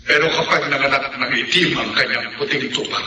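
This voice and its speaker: Echoing, stern Filipino folk healer. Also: male voice, medium pitch, brisk. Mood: ominous warning. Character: stern male folk healer giving an ominous warning. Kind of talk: Filipino horror radio drama acting. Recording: echoey, distant, faint noise.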